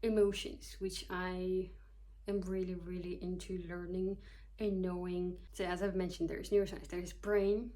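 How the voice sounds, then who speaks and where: A woman's voice: lowish voice, level tone, unhurried, warm tone. A young woman from Kyrgyzstan in her twenties, a psychology student, chatting casually to camera, joking at herself and loosely reading from her notes. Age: 20s. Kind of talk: casual talking-to-camera vlog.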